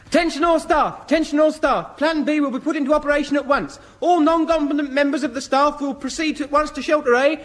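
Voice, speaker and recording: An actor playing an eager, naive young English bank clerk and home guard private in a radio sitcom, boyish and earnest. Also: male voice, extremely high pitch, a little fast, few pauses. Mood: self-important. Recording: slightly noisy old radio recording.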